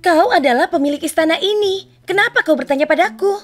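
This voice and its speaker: Woman as a high-voiced, sweet young beauty. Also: quick pace, faint music behind. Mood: willing, earnest. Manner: sweet, earnest. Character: kind, devoted young beauty in a fairy tale. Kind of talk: cartoon fairy-tale voice acting.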